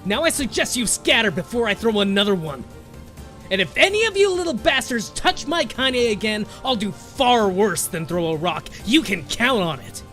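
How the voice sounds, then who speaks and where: A man voicing a tough, foul-mouthed old village grandmother, coarse and rough-spoken. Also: sits high, lively intonation, normal speed, bright, forceful tone, soft background music, pitched above the performer's own voice. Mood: furious.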